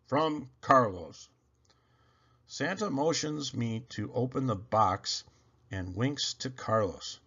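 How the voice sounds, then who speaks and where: Male read-aloud narrator, dramatic, highly varied pitch, slightly muffled lo-fi sound. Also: animated, takes it slowly, vocal fry, fairly bright tone, husky. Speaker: children's storybook reader.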